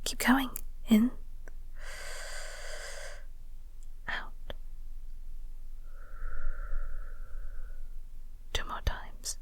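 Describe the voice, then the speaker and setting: A woman playing a flirty, caring coworker in an intimate audio roleplay, speaking softly and gently in a breathy, reassuring way. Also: slow pace, dry recording, close to an everyday voice. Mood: soothing. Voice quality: soft, breathy, whispery.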